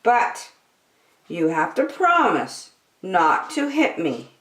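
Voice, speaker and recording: A woman voicing a kind, patient little bunny in a gentle, reassuring voice. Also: medium pitch, huge pitch swings, takes it slowly, roomy sound, heavily acted.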